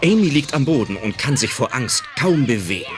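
A man's voice: medium pitch, big pitch swings, brisk, a loud crowd. A man narrating a children's audio drama in a scripted, dramatic storytelling style. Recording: loud music under the voice.

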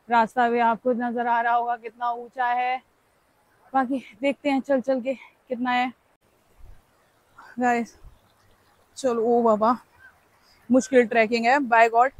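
Young woman, travel vlogger, high voice, dry close phone sound, chatty and playful on a forest trail. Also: no room sound, rough recording. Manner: chatty, playful, exclaims while hiking. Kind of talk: casual vlog walking commentary. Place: outdoors on a forest trail by a stream. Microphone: handheld phone camera.

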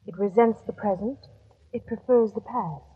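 Husky, guarded ghost-town siren. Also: female voice, medium pitch, normal speed. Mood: curt, defensive. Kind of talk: 1950s radio drama acting. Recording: very muffled old radio recording.

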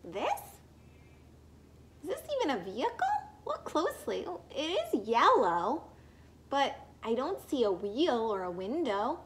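A young woman reads aloud like a teacher of small children, in a high, very animated voice. She speaks slowly and puts questions to the listeners. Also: a little hiss or hum. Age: young adult.

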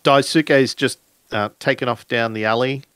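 A man improvising narration for a tabletop role-playing game, pausing often mid-sentence. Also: medium pitch, fairly even intonation, fairly bright tone, clear, resonant tone, no echo. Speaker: tabletop role-play game storyteller or player.

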